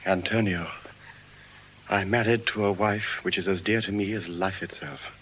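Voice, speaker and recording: An actor playing a devoted, impassioned gentleman in classical Shakespearean style, fervent and declamatory. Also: low voice, steady tone, normal speed, breathy edge, poor audio. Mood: anguished devotion. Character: devoted, impassioned gentleman, merchant's loving friend. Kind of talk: classical Shakespearean stage acting.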